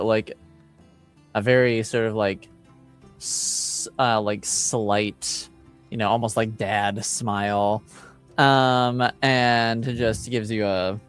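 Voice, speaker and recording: A man narrating as game master of a tabletop roleplaying game, informal and halting as he improvises. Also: medium pitch, clean tone.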